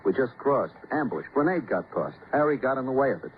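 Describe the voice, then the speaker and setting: A man's voice: medium pitch, big pitch swings, a little fast, lightly acted. A thin, slightly hissy old radio recording of a sardonic tough war veteran , played by a man. His phrases are short and taunting, swinging freely up and down with sly menace. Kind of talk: old-time radio crime drama acting. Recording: tinny, faintly noisy vintage radio recording.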